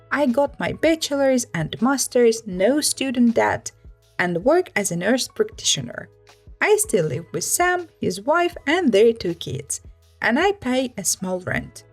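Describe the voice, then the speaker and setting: A woman reads a written first-person story aloud as a narrator. Her voice sits a little high and moves through lively, animated rises and falls. Music plays along behind the narration. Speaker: narrator reading online stories aloud.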